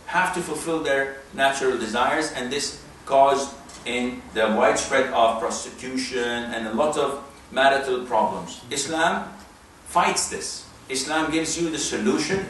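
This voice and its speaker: A man from Saudi Arabia, giving a religious lecture as an Islamic preacher in an emphatic, deliberate way with rhetorical questions. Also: medium pitch, a bit bright. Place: mosque hall, talk to an audience. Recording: distant, very echoey room, faint noise.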